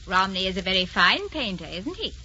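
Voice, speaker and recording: A dull old radio recording of a refined society lady. Her delivery swings expressively in pitch, gracious and poised with a wistful streak, as in period drama. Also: sing-song, fairly quick, sharp tone, slight background noise. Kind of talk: 1940s radio drama acting.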